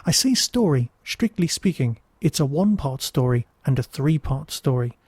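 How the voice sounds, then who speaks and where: A man's voice: medium pitch, expressive, normal speed, a little mellow, slightly rough, dry recording. A man hosting a podcast, giving casual, digressive review commentary with wry asides.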